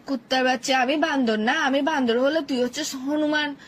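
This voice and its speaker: A woman's voice: sits high, fairly quick, a little hiss or hum. A young woman, playing a girlfriend on a comic phone call who scolds and hurls insults at her boyfriend.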